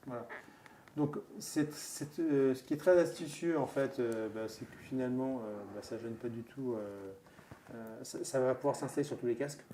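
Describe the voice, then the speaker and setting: A man hosting a show, presenting a product conversationally in a halting, informal way and chiming in with agreement. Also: mid-range voice, dark tone, a little hiss or hum.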